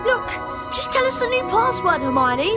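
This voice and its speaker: A woman voicing an excitable, cheeky young schoolboy wizard in a video game. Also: very high-pitched, moderate pace, big-room sound, over loud music. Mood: exasperated.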